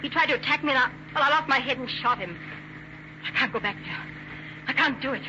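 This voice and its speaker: A woman's voice: sits high, quick pace, natural voice. An actress playing a desperate, frightened American woman, pleading and melodramatic, in an old-time radio drama. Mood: grave, fearful. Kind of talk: 1940s radio drama acting. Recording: dull, noisy vintage radio recording.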